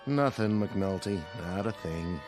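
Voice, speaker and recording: A man's voice: lowish voice, expressive, takes it slowly, a little mellow, faint music behind. An actor in a radio drama playing a blunt, wisecracking big-city bartender, a man who sounds sarcastic and fed up. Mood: exasperated.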